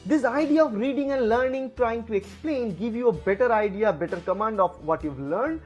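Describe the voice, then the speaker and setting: A man from India, a native Bengali speaker with an Indian English accent, giving tips to camera with lively swings in pitch and many fillers. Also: moderate pace, soft background music. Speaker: educator. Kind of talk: lecture to camera. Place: room, alone with camera.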